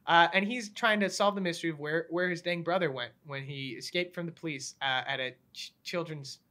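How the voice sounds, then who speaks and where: A young man with a bright, forceful voice that sits a little high. He hosts a casual movie review in a jokey, bantering manner. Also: brisk. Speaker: movie review show host.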